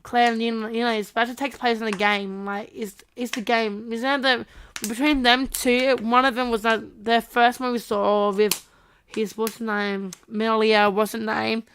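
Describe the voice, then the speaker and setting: A woman's voice: a little high, level tone, normal speed, a bit bright, a little hiss or hum. A woman co-hosting a podcast in casual chat, informal and blunt, often repeating her phrases. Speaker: podcast co-host.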